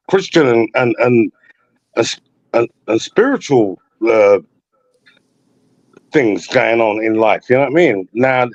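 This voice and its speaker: A man's voice: medium pitch, no room sound. Rambling man on a muffled call line. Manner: loose, repeats "you know what I mean". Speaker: guest on a call-in talk show. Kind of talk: rambling casual conversation. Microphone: online voice call.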